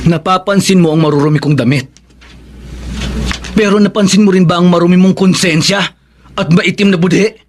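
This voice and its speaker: A man's voice: high voice, steady tone, normal speed, long pauses, slight background noise, lightly acted. A man with a Filipino accent playing a bitter, sarcastic grown son in a radio drama. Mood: bitter scorn. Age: young adult. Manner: cutting, sarcastic. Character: bitter, scornful grown son resenting his mother.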